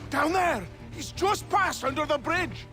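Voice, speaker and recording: An actor playing a cheerful, blunt working lumberjack in a video game cutscene. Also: male voice, very high-pitched, measured pace, pushed and bright, soft background music, full character voice. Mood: alarmed shouting. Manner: blunt, joking, colloquial.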